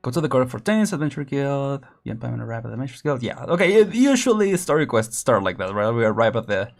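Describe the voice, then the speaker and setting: A man's voice: medium pitch, very animated, fast talker, gravelly, faint music behind. A man casually commenting while streaming a game, rambling and laughing often.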